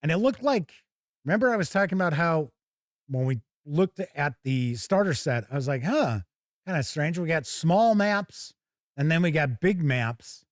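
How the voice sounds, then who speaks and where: A man hosting a tabletop game show, giving casual, halting live unboxing commentary and thinking aloud. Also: fairly high pitch, expressive, dry recording, studio quality.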